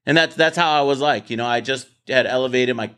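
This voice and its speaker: A man's voice: mid-range voice, fast talker, fairly bright tone, clean tone. A man hosting a casual debate show in his office, talking bluntly, swearing and repeating words as he starts sentences. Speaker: podcast host.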